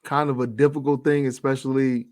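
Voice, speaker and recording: A man's voice: mid-range voice, little pitch movement, fairly quick, a little mellow, no echo, studio quality. A man hosting a casual interview, asking questions and affirming with short replies. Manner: friendly, affirming with "right" and "yeah, man". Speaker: interview host.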